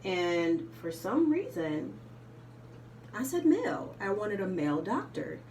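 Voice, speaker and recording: A soft-spoken woman chatting casually to her viewers while getting ready, rambling with many ums and you knows. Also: mid-range voice, sing-song, takes it slowly, slight background noise. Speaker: vlogger. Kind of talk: casual chat while doing makeup. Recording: roomy, slightly distant home recording.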